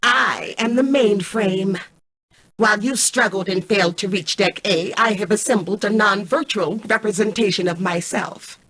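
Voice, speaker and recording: Husky female computer intelligence, arrogant, calculating, formal, measured commands, video game. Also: mid-range voice, fairly bright tone, poor audio. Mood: calm, imperious. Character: cold, arrogant female mainframe artificial intelligence, calculating and imperious. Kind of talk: scripted video game voice acting.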